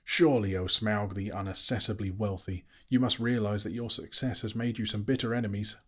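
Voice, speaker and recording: A man voicing a small, timid but plucky male hobbit in a storybook, nervously polite with flowery flattery. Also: fairly low pitch, brisk, breathy edge. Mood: plucky, earnest. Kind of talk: audiobook character voice.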